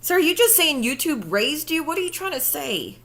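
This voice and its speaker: Bright-voiced sarcastic woman streamer. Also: high-pitched, sing-song, a little fast. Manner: sarcastic, profane, incredulous. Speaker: commentary streamer. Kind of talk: reaction commentary stream.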